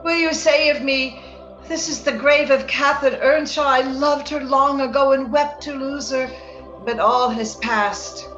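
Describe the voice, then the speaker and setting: Actress as fevered young lady, dreamy romantic radio acting, very high voice, echoey dull recording. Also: fairly even intonation, a little slow, fairly bright tone. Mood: yearning, sorrowful. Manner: dreamy, yearning, theatrical. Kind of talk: old-time radio drama acting. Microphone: recorded remotely over video call. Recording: echoey, dull video-call sound, music underneath.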